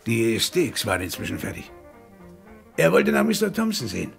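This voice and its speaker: An actor playing a nervous, hesitant diner witness being questioned in a vintage German radio crime drama. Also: male voice, medium pitch, wildly swooping pitch, moderate pace, creaky, faint music behind, heavily acted. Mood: flustered. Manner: hesitant, faltering.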